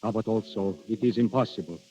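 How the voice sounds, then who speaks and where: An actor playing Death in princely human guise, grandiose, yearning and imperious, in a 1930s radio drama. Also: male voice, fairly low pitch, fast, warm tone, a little hiss or hum, natural voice. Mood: yearning, brooding. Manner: theatrical, imperious, brooding. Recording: muffled 1930s radio recording.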